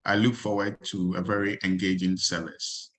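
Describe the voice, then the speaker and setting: A man's voice: sits low, level tone, moderate pace. A man from Ghana who lectures in agricultural extension introduces himself on a video call in a plain, slightly formal way.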